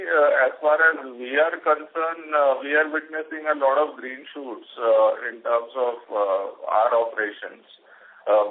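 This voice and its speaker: A man speaking as a company executive, answering questions on an earnings call in a halting, hesitant way. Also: fairly low pitch. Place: conference call. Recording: tinny phone-line conference audio.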